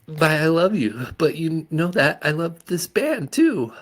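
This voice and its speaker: A man voicing a defiant middle-aged mother in a storybook reading, speaking in a high falsetto. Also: sing-song, unhurried.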